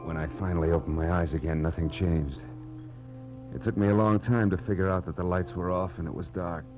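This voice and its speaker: An actor playing a hard-boiled, weary private detective, narrating and talking in terse, wry wisecracks in 1940s noir radio style. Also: male voice, sits low, fairly even intonation, fairly quick, close to an everyday voice. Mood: groggy, wry. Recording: muffled old radio recording, music behind.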